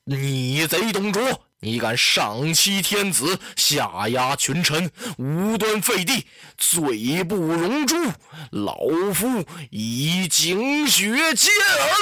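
A storyteller voicing a defiant old male court minister who declaims his words loudly and at length. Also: medium pitch, big pitch swings, no echo, full character voice. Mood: outraged. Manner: drawn-out, declaiming, loud.

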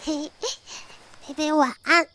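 Very high, sweet little girl. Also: fairly even intonation, a little slow, a bit bright. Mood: sleepy, sweet. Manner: childlike, cute, simple phrasing. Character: sweet, polite little girl, eager and affectionate. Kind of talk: modern Chinese audio drama acting.

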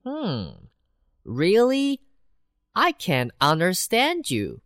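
A woman's voice: huge pitch swings, fairly bright tone, no echo, exaggerated voice. Boastful city mouse, as a woman reads it. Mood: scornful. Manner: slow, bouncy storybook voice with big pitch swings. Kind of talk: children's storybook reading.

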